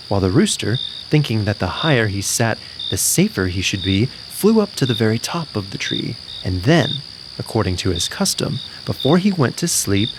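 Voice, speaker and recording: A man's voice: mid-range voice, noisy background. A man narrating a scripted fairy tale as a storyteller.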